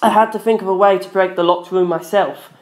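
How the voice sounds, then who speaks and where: A man's voice: high voice, fairly even intonation, a little fast. A man reading a first-person story aloud as a dramatic narrator. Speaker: audiobook-style narrator. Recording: roomy echo.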